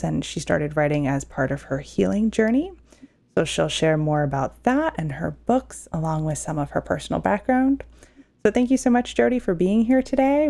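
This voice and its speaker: Woman podcast host, low gravelly mellow voice, reads her intro out nice and even. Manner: reads a prepared welcome in even, measured sentences.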